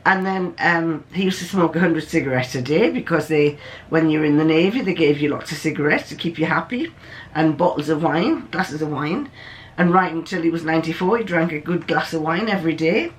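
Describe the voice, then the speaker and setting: An older person hosts in a deep voice. The talk is casual and rambling, drifting off into asides and coming back in a plain, matter-of-fact way. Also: a little fast, low-quality recording. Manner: chatty, digressive, matter-of-fact. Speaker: video host.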